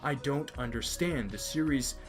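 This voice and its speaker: A young man from America reviews an anime series, emphatic and opinionated, circling back to restate his points. His voice sits at a medium pitch. A faint music bed plays underneath. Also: measured pace. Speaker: anime video host. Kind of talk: enthusiastic review commentary.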